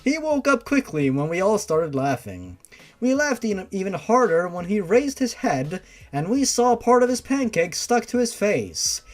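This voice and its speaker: Male story reader, high pitch, swooping intonation, theatrical comedic fan fiction narration. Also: sing-song, unhurried. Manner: theatrical, exaggerated. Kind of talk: comedic dramatic reading of fan fiction, with asides.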